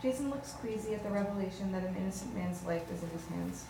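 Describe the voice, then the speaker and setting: A woman reads scripted stage directions at a brisk pace. She is recorded in an echoey room, with clear noise. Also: mid-range voice. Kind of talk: scripted narration at table read. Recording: distant, echoey, noisy room.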